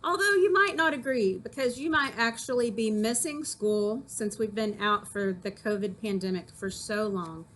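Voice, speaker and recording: A woman's voice: on the high side, normal speed, few pauses, a bit bright, a little hiss or hum, poor audio. A woman teacher talking casually to children about poems, in a conversational way that puts questions to listeners. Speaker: teacher reading to pupils.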